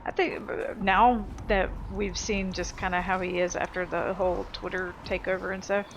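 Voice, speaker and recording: A woman's voice: medium pitch, steady tone, moderate pace, noisy background. A woman giving casual, unscripted let's play commentary in a blunt, sweary, opinionated manner. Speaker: gaming video host.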